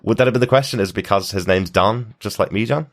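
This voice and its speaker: A man's voice: fairly low pitch, fast. A man hosting a podcast in a casual, chatty, conversational way. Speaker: podcast host. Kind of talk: casual TV discussion, reading listener email.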